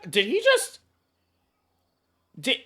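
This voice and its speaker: A woman's voice: high voice, huge pitch swings, very slow, bright, forceful tone. A woman streaming a game, giving casual, profane reaction commentary and muttering to herself. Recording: game music behind.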